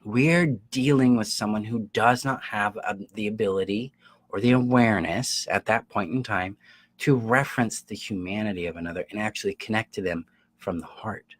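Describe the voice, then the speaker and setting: A man's voice: fairly low pitch, normal speed. A man giving an explanatory self-help coaching talk straight to viewers, stressing key words emphatically and checking in with listeners. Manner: emphatic, acts out imagined quotes, checks in with listeners.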